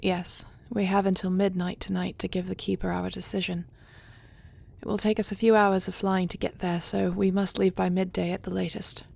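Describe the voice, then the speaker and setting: A woman's voice: mid-range voice, little pitch movement, normal speed, creaky, darkish tone, slightly rough. A woman playing a devoted dragon queen and wife, fierce yet tender, speaking formally and intimately in an audio roleplay. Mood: composed, caring. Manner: intimate, formal storybook speech.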